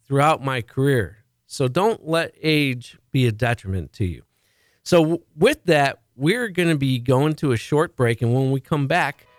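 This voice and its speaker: A man's voice: a little high, dry recording. A man with a Chicago accent hosting a radio talk show, chatty and jokey, stumbling and restarting now and then.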